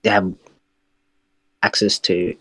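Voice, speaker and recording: A man in an online voice chat, asking questions haltingly, searching for words with fillers. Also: sharp tone. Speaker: chat room participant.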